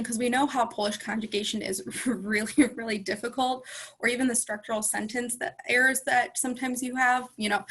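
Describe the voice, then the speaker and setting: Chatty bright young woman. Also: a little high. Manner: chatty, many ums and self-corrections. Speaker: guest speaker, former student. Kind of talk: casual panel conversation.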